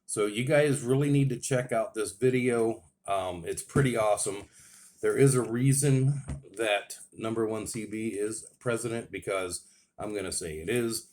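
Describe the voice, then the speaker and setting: A man's voice: lowish voice, normal speed, a little mellow, slight background noise. An American man giving a casual, enthusiastic and plain-spoken product review as a radio hobbyist.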